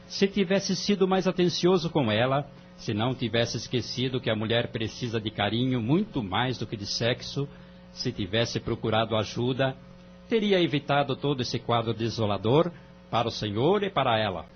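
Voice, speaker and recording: A Brazilian man playing a calm, wise family-court judge in an audio drama, speaking in a formal, measured, moralizing way. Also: mid-range voice, slight background noise, natural voice. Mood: firm, counselling. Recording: dull lo-fi audio, music underneath.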